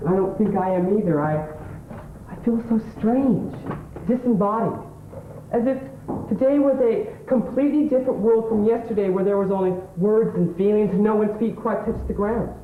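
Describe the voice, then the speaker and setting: A man with an English accent playing a scruffy, earnest, smugly analytical suitor on an amateur stage, repeating words haltingly as he explains. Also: high voice, natural voice. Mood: dreamy, intense. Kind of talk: amateur stage play acting. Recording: tinny old tape, roomy, background hiss.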